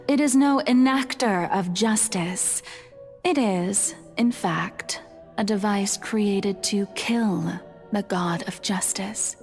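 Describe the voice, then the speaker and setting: An age-old female deity from a fantasy game, speaking in a lightly raised pitch with theatrical poise. She is calm, resolute and playful, taking her time over formal phrases. Music plays softly behind her. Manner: theatrical, teasing, measured, formal diction. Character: serene, playful female god, centuries old, quietly resolute. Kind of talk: dramatic video game voice acting.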